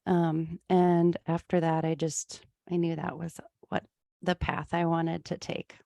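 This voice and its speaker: A woman from Iowa with a low, gravelly voice, answering questions in an easygoing way and often pausing with "um." The sound is dry and close. She is a music therapist talking with an interviewer. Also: flat delivery, dark tone, no echo. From Iowa, USA. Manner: easygoing, frequent ums, light laughs.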